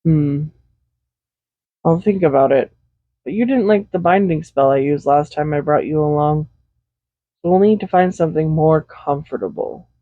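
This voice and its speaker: A woman playing a doting, possessive villain in an intimate roleplay audio, speaking softly and without hurry in a gently teasing manner. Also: low-pitched, no room sound, natural voice. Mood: gentle, coaxing. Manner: soft-spoken, unhurried, gently teasing. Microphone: Blue Yeti USB microphone, close. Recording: slightly dull home microphone recording.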